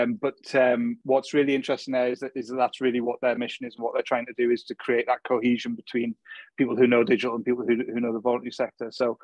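A man's voice: medium pitch, darkish tone, no room sound, poor audio. A man speaking as a guest at an online meetup, rambling with many restarts and fillers. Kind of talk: casual online meetup talk.

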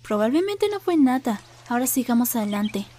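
Woman as a bright, composed animal envoy. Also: on the high side, very animated. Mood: dismissive. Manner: formal, measured, diplomatic. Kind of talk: animated fan dub.

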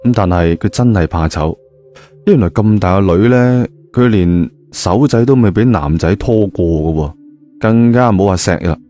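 A low-voiced man narrates an audio novel in colloquial Hong Kong Cantonese. His tone is dark and mellow, with faint music behind him. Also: moderate pace. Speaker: storyteller.

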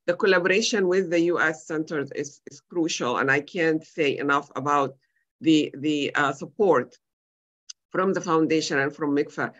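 A woman's voice: low voice, normal speed, fairly bright tone. Woman, middle-aged, from Egypt. Conversational medical panelist, slightly muffled lo-fi call audio. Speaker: physician panelist. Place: indoors on a video call. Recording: dull lo-fi video-call audio.

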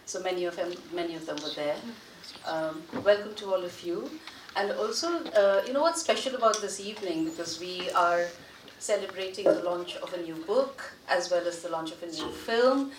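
Echoey, lowish-voiced woman welcoming an audience. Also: expressive, moderate pace. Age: middle-aged. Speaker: event moderator, director. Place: large lecture theatre, indoors. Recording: echoey hall, distant, noisy.

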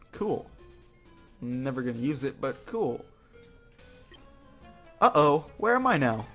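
A man giving casual gameplay commentary as a video host, joking and self-deprecating, with informal talk and mild swearing. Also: fairly high pitch. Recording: game music behind the voice. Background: video game music.

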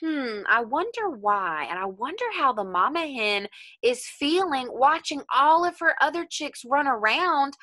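Bright-voiced young woman teaching children. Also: high-pitched, unhurried, dry recording. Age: young adult. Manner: animated, encouraging, addressing young children. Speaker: elementary school teacher. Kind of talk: children's read-aloud lesson.

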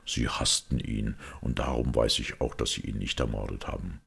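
A man playing a calm, shrewd priest detective who explains quietly and with compassion, in a measured audiobook reading. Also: rumbling low, vocal fry, husky, exaggerated voice. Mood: calm, deliberate.

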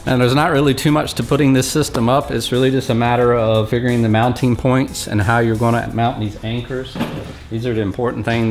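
A man in his forties giving a relaxed, folksy step-by-step how-to walkthrough in a large metal workshop, talking close to a handheld camera. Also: fairly low pitch, slight background noise. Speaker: fishing channel host. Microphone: handheld or head-mounted camera close up.

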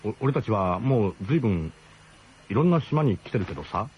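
A man playing an embarrassed camp cook in a radio drama, speaking casually in a halting, roundabout way. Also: lowish voice, expressive, husky. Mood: awkward, hesitant. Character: hesitant, embarrassed male camp cook, awkwardly diplomatic friend. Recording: dull, slightly noisy old radio broadcast.

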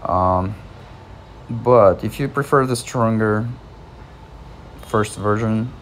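A man with a Russian accent guides viewers through a phone app at a slow, easy pace. He hesitates often, filling gaps with "uh" and restarting words. A faint hiss sits under the voice-over. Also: on the low side. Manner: hesitant, many fillers and restarts. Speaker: channel host. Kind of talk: screen-recorded app tutorial.

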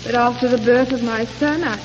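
A woman plays a frail, timid invalid wife in a 1930s radio drama. Her high voice is hesitant and confused, repeating itself. The old recording is muffled and clearly noisy. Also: steady tone, fairly quick, lightly acted. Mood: dazed, hesitant. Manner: hesitant, faltering, repetitive.

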